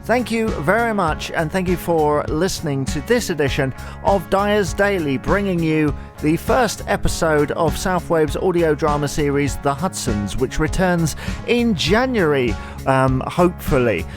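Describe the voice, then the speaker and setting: A man's voice: a little high, lively intonation, a little slow, over music. A man hosting a podcast, signing off to his listeners. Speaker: podcast host. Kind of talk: podcast sign-off.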